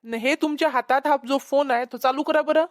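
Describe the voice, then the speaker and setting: Cartoon casting: woman as officious rescue worker, high voice, Vidarbha Marathi accent, questioning manner. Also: fairly even intonation, fairly quick, close to an everyday voice. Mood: suspicious. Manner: questioning, instructing. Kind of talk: amateur cartoon comedy voice acting.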